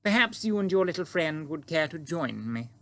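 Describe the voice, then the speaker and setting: A man voicing a composed, dignified queen who speaks in a prim, measured way, read aloud from a storybook. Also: fairly high pitch, sing-song, a bit bright, voice pushed up. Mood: regal.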